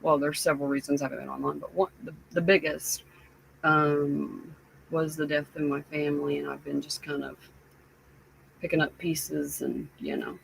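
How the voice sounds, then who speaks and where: A woman live streamer chatting casually with her viewers, sounding stuffy and raspy, rambling with lots of fillers. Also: low voice, animated, a little hiss or hum, low-quality recording. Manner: slow, rambling, many fillers.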